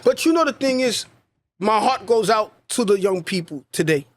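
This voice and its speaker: Podcast co-host, man, high pitch, emphatic and repetitive. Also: lively intonation, normal speed. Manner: emphatic, preachy, repeats phrases for stress. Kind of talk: casual podcast conversation.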